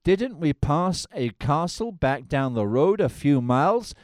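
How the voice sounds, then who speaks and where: A man's voice: fairly high pitch, sing-song, unhurried, a little mellow, dry recording, studio quality. A man voicing an earnest, square young fiancé who hums and frets. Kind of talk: parody of a musical film.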